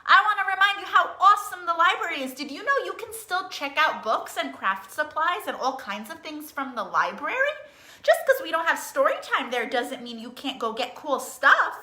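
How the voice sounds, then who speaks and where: A woman's voice: high voice, sing-song, moderate pace, sharp tone. A woman leading a children's storytime in an encouraging, coaxing manner, putting simple questions and instructions to young listeners. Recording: roomy, echoey room sound.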